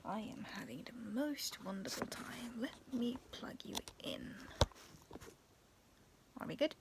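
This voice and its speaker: A young woman vlogging in a soft, raspy whisper, talking to her viewers to camera and telling a spooky story, giggling now and then. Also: a little high, takes it slowly. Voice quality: raspy, cracking voice. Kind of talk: whispered casual vlog with a ghost story. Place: dim bedroom with fairy lights. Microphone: phone or webcam at arm's length.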